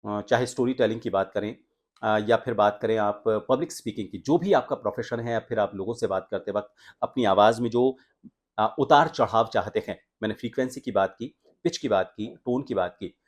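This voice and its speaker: एक आदमी जो आवाज़ सुधारने का पाठ पढ़ाता है, हमिंग की आवाज़ें करके दिखाता है और हिंदी लहजे में बोलता है।. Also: male voice, on the low side, fairly quick. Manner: explanatory, demonstrates humming sounds. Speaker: voice coach and anchor. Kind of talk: voice training lesson.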